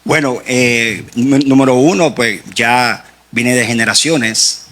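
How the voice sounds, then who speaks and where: A man from Puerto Rico with a Puerto Rican accent, a guest author giving his personal faith testimony in an earnest, halting way. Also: medium pitch, steady tone, fairly bright tone.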